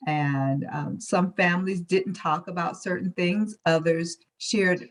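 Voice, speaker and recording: A woman's voice: fairly low pitch, fairly even intonation, slow. A woman giving an informal, conversational report back from a group discussion. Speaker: workshop participant.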